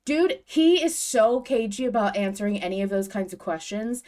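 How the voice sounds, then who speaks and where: A woman's voice: medium pitch, animated, normal speed, darkish tone. A woman co-hosting a casual fan discussion podcast, talking emphatically with gushing enthusiasm and some swearing. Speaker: podcast host.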